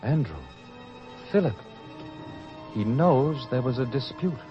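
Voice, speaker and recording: A man's voice: medium pitch, lively intonation, takes it slowly. A man acting a thoughtful, penitent disciple in a religious radio drama, speaking formally and theatrically. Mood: uneasy. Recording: muffled radio recording with music.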